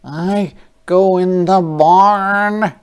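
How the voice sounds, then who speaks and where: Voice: mid-range voice, fairly even intonation, very slow, a little mellow, smooth, voice pushed up. A reader voicing a farm pig in a picture book, with a sing-song lilt and oinks. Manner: sing-song storybook voice, oinking. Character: farm pig staying in from the cold.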